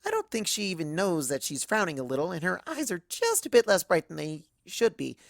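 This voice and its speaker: Animated woman narrating, creaky and dry. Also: lowish voice, brisk, no echo. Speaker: fan fiction narrator. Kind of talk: animated first-person story narration in character.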